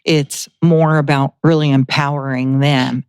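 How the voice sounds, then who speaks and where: An American woman talks as an interview guest in a smooth, clear voice with a dry, close sound. Her delivery is conversational, earnest and slightly hesitant. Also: rumbling low, steady tone, a little slow, a little mellow, no echo. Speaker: foundation board president, guest.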